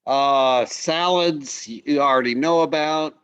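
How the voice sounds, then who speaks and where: A man presenting food photos in a casual online class, relaxed and halting with many fillers. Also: a little high, clean tone, dry recording, highs cut off. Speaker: host presenting slides.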